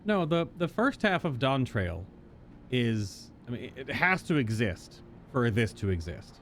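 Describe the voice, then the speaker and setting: A man's voice: medium pitch, big pitch swings, fairly bright tone. A male game streamer gives casual reaction commentary in a mid-range voice. He takes his time, stretching and stressing words as he thinks aloud. There is faint noise behind him. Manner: drawn-out, emphatic, thinking aloud.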